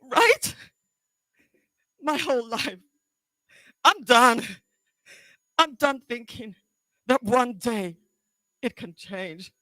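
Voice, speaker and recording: A woman performing a dramatic monologue as a bitter, wounded grown daughter confronting her neglectful mother in halting, emotional, accusing speech. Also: on the high side, bright, forceful tone, no room sound, heavily acted. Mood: hurt, anguished.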